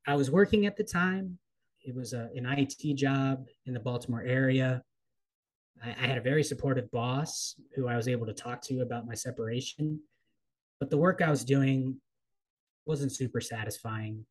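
A man in his thirties from the East Coast of the United States, a coach and writer speaking as a guest in a thoughtful, measured way with frequent pauses. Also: medium pitch, poor audio. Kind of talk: reflective personal interview.

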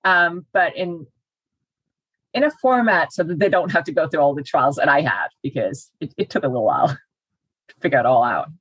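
Woman in her 40s, low husky voice, dry close sound, chatty and organized. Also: animated, normal speed, dry recording, lo-fi sound. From Denmark. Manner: structured and chatty, laughs now and then. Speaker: guest, personal trainer. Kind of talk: podcast interview.

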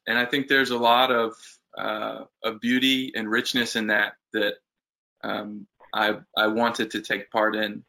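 Bright-toned man speaking in an even, low voice. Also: creaky, rough recording. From United States. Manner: thoughtful, halting with fillers. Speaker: guest farmer. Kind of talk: casual interview chat.

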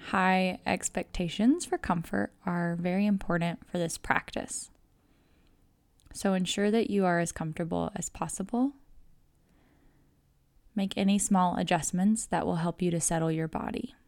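A woman guiding a relaxation meditation, speaking in a calm, measured, soothing way with pauses between phrases. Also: on the low side, gravelly, dry recording. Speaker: yoga nidra guide. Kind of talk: guided meditation narration.

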